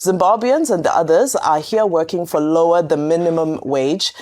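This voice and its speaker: A woman's voice: on the low side, moderate pace, a little mellow. A woman presenting a TV news show, reading reports and viewer reactions in broadcast style. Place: TV studio.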